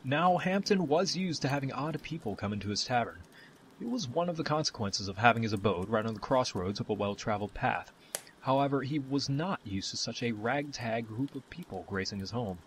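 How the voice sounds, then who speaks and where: A man narrating a scripted fantasy story as the storyteller, with dramatic, deliberate phrasing. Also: medium pitch, expressive, a little hiss or hum, low-quality recording.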